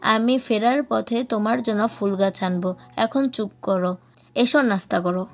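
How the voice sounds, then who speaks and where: A teasing, placid father in an audiobook, voiced by a woman. He placates his small son. The voice stays on the high side, with music underneath. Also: unhurried, fairly bright tone. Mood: placating.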